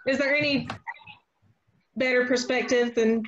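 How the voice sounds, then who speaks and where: High-voiced woman on a dull video call. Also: fairly even intonation, a little fast, slight background noise. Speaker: host of a virtual table read. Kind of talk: casual group video-call chat.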